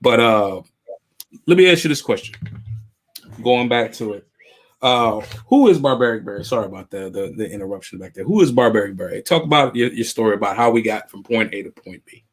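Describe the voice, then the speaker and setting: A man's voice: fairly low pitch, animated, brisk. A man hosting a podcast interview, speaking in a friendly, loose way with street slang and calling his guest brother. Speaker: host.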